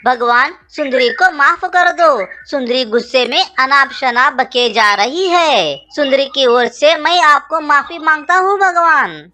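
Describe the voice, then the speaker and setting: Humble crow husband for kids' animation, played by a woman: bright, swooping, earnest and pleading. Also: high voice, lively intonation, a little slow, hardly any pauses, exaggerated voice. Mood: apologetic, pleading. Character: meek, pious, jobless male crow husband. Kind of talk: children's cartoon voice acting in Hindi.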